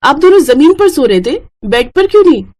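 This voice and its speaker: A woman's voice: sits high, sing-song, a little fast, a little mellow, voice pushed up. A woman voicing a proud, fussy young bride in a family story, questioning and indignant.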